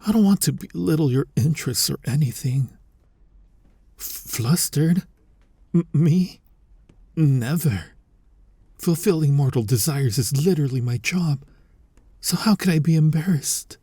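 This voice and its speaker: A man playing a flirty, tender incubus in an intimate ASMR roleplay, speaking softly and teasingly with a breathy, husky voice. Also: a little high, expressive, no echo, clean studio sound, exaggerated voice. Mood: flustered, defensive. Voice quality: soft, breathy, husky, often near a whisper. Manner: teasing, coaxing, slow and intimate. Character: flirty, tender male incubus lust demon comforting a lover.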